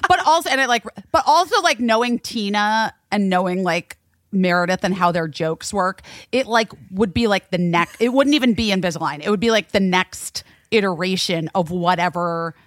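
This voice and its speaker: A woman co-hosting a podcast, chatting casually with quick laughing asides. Her pitch swoops up and down with lively energy. The sound is close and dry. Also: no echo. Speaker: podcast co-host. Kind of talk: casual chat.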